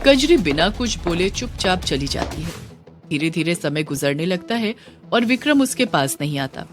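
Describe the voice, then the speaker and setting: A woman's voice: fairly low pitch, very animated, normal speed, faint music behind. A woman narrator reading a scripted moral story.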